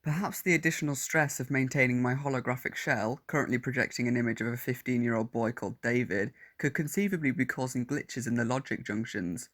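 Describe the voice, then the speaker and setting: A man's voice: medium pitch, a little fast, few pauses, fairly bright tone, lightly acted. A man playing a menacing alien war android disguised as a teenage boy, speaking in formal, clinical phrases in an audio drama. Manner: formal, clipped, clinical speech.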